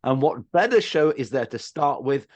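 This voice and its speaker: A man's voice: medium pitch, lively intonation, normal speed, darkish tone, dry recording, dull audio. Man hosting, close and dry. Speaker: podcast host and interviewer. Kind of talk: presented podcast interview about theatre.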